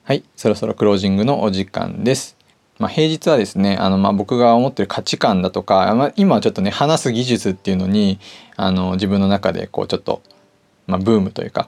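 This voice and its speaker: Fast-talking man with a gravelly low voice. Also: darkish tone. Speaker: host of a personal audio show. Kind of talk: casual solo talk to listeners. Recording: music playing behind the voice. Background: background music.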